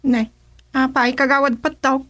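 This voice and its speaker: A woman acts out an ambitious, sassy village councilwoman in a radio comedy-drama. Her voice is high and slow, with an Ilocano accent. She is exclamatory and teasing, drawing out her words. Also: little pitch movement, fairly bright tone. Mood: mocking, suspicious.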